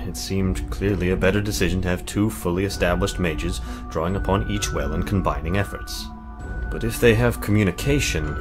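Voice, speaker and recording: Low-pitched formal scholarly male mage played by a man. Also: close to an everyday voice. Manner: formal, precise, measured wording. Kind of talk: visual novel character acting.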